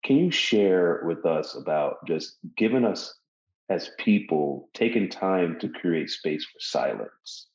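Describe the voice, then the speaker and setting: Guy hosting a chatty podcast, really low voice, repeats himself, kinda muffled lo-fi roomy audio. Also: lively intonation, takes it slowly. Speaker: podcast host. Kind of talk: casual conversational interview. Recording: roomy echo, dull lo-fi sound.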